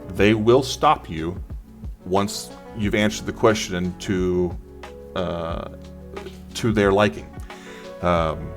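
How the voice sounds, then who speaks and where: A man's voice: sits low, slow pace, pauses often, faint music behind. A man presenting exam advice in an informal tutorial style, talking through slides.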